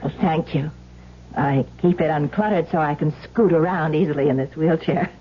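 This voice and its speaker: An American actress playing a gracious, plucky housewife in a 1970s radio drama, with a husky voice and politely brave manner. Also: female voice, sits low, moderate pace, slight background noise, close to an everyday voice. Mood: gracious, eager. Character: gracious, plucky housewife in a wheelchair, eager for company. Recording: muffled old radio broadcast recording.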